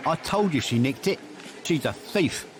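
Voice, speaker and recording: An actor plays a bossy, self-important male ward manager in an audio drama. He sounds theatrical and mock-offended, with a somewhat high, lively voice. A faint hiss of noise sits behind him. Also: normal speed, close to an everyday voice. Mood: petulant.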